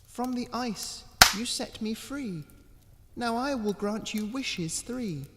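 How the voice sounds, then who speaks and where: A man voicing a small, eerie wishing cat in a high, childlike, hushed voice, speaking in slow rhymes for a storybook tale. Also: expressive, big-room sound, heavy background noise, exaggerated voice. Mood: solemn, eerie. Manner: slow rhyming pronouncements.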